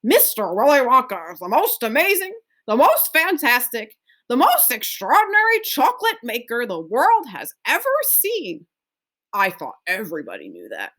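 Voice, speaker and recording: An ancient, frail grandfather from a children's storybook, acted out by a woman. He is eager and fond, exclaiming with wonder and leaning hard on every superlative. His pitch swings up and down in a very lively way. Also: normal speed, exaggerated voice. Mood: excited, proud. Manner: emphatic, exclaiming, savoring superlatives.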